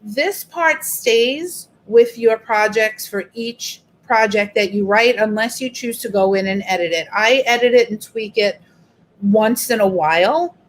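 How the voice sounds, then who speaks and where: A woman's voice: a little high, unhurried. A middle-aged woman teacher, walking a group through an online workshop in a chatty, explanatory way. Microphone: video call.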